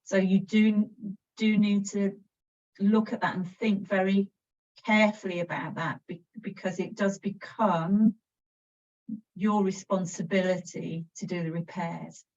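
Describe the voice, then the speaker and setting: A woman with an English accent, a council officer explaining a process in an informal presentation, steady and practical with frequent restarts. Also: mid-range voice, a little slow. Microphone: video call. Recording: distant, dull video call audio.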